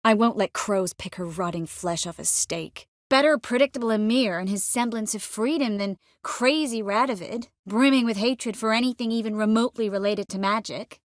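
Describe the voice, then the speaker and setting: An actress playing a determined, warm young sorceress in a fantasy video game, speaking earnestly and expressively with a gently teasing manner. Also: female voice, medium pitch, normal speed, hardly any pauses, no echo, studio quality, natural voice. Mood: serious, urgent. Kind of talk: video game dialogue acting.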